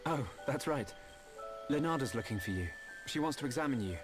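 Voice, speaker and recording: A young British game hero, acted by a man, mid-pitched and sincere. He speaks with resolve while music plays clearly behind him. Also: animated, a little fast, close to an everyday voice. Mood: thoughtful, gentle. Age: young adult. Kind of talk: video game voice acting.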